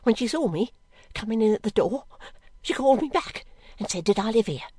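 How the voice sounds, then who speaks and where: A wretched young servant girl from a storybook. The voice is very high and close and dry, plaintive and pleading, anxious to please and easily frightened. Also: expressive, a little fast, mellow, breathy edge, no echo. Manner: plaintive, pleading, breathlessly repetitive. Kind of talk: audiobook character acting.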